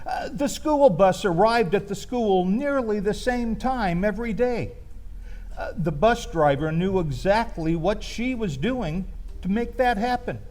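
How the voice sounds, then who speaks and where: A man with a high-pitched voice shares a personal, gently comic reminiscence. He speaks slowly and hesitantly, with lively swings in his intonation. The delivery is that of a wistful storyteller who leaves room between phrases.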